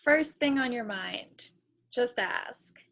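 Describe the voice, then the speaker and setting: A woman's voice: fairly high pitch, lively intonation, takes it slowly, gravelly, a bit bright, heavily acted. A woman playing a guarded, candid young woman on an awkward date in a play table read, speaking frankly and with measure.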